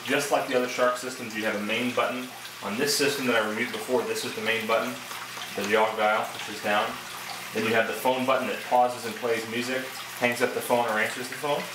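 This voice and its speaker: A man's voice: medium pitch, level tone, fairly quick. A man giving a casual product demonstration and review, explaining things step by step in a conversational way. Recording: echoey, distant mic, clear background noise.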